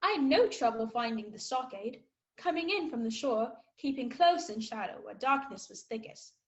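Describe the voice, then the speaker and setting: A woman voices a plucky young boy recounting his sea adventure in a radio drama. The voice is fairly high, and the storytelling is measured and literary. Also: animated, lo-fi sound, natural voice. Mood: proud, earnest. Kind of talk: scripted storytelling narration in a radio play.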